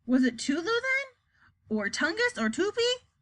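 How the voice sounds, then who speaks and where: Woman as a high, whiny, flustered president. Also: big pitch swings, measured pace, a bit bright, full character voice. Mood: demanding. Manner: whiny, demanding, easily flustered. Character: childish, petulant, excitable male president. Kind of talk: comic children's storybook character voice.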